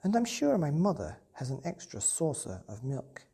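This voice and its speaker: Eager small boy for a children's story , played by a woman, earnest, kindly, breathy and animated. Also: deep voice, moderate pace, exaggerated voice. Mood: kind, inviting. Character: eager, kind little boy who dreams of flying.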